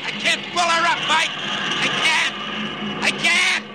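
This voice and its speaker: Male actor as terse warplane pilot, raised pitch, slangy, vintage radio drama with loud engine noise. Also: a little fast, natural voice. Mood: strained urgency. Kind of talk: 1950s radio drama acting.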